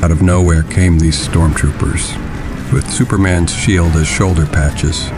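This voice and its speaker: An actor voicing a grim, vengeful middle-aged vigilante billionaire in an audio drama, speaking gravely and deliberately. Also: male voice, low voice, fairly even intonation. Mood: grim, recounting. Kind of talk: audio drama narration and dialogue. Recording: dramatic music behind the voice.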